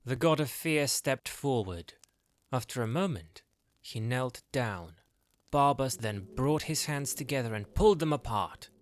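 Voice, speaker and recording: A man's voice: mid-range voice, expressive, a little slow. A man narrating a scripted fantasy story as its narrator.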